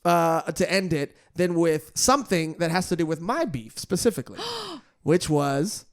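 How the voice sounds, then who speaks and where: A man with a notably high voice co-hosting a comedy podcast. He talks in an animated, giggly way and breaks into laughter often. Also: normal speed. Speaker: podcast co-host. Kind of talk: casual podcast chat.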